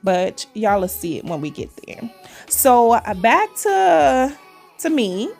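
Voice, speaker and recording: A woman's voice: a little high, sing-song, takes it slowly, creaky, a little mellow, faint music behind. A woman in her forties with a Trinidadian and New York accent, chatting casually to viewers while she does her nails. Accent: Trinidadian mixed with New York. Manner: chatty, addresses viewers as cuties, says y'all. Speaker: nail vlogger.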